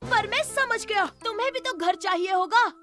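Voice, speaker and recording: An actor voicing an eager, playful young boy rabbit, an excitable and slightly bossy big brother in a children's cartoon. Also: very high voice, moderate pace, over music. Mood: earnest, pleading. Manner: excitable, exclamatory. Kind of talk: Hindi-dubbed children's cartoon acting.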